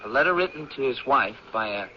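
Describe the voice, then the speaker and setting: A man's voice: mid-range voice, animated, quick pace, close to an everyday voice. A man playing a polite, curious young college professor in a radio drama, courteous and a little hesitant. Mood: friendly, hesitant. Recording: muffled, noisy old radio broadcast.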